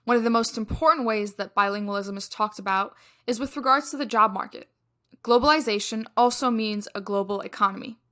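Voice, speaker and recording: Bright-voiced woman podcast co-host. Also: fairly high pitch, a little fast. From Egypt. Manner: animated storytelling with frequent fillers and restarts. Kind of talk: casual podcast chat.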